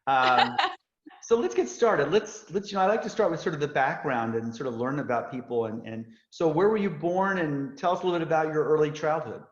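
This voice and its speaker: A man interviewing an artist casually over a video call, eager and quick to jump in, often repeating words. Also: on the high side, animated, reverberant, dull audio.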